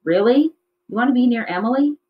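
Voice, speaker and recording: A woman's voice: a little high, lively intonation, brisk, voice pushed up, natural voice. A woman voicing an earnest, questioning young schoolgirl in a storybook. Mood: wary. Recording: slightly dull, lo-fi recording.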